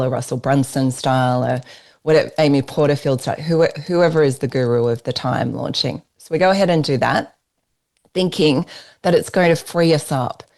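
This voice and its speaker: An Australian woman presents a live business workshop, talking through her slides. Her voice sits low and carries a gravelly fry. She delivers it as an emphatic, persuasive sales pitch. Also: a little mellow. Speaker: business strategist leading a workshop.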